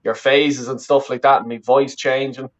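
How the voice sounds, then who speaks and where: A man's voice: mid-range voice, flat delivery, normal speed, lo-fi sound. A man from the west of Ireland with a Dublin accent, chatting casually as an interview guest and rambling with lots of self-corrections. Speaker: interview guest, fan channel creator. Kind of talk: casual chat.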